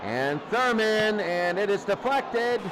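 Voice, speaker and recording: Male sports announcer, high voice, football play-by-play, clipped running calls, loud crowd behind. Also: lively intonation, slow pace. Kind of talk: live American football radio commentary. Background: noisy sports crowd.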